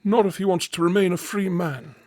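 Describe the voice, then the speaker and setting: A man's voice: high-pitched, a little fast, mellow, no echo, studio quality, lightly acted. A man playing a deferential, evasive manor servant, loyal to family, with a hesitant, pleading manner in an audio drama.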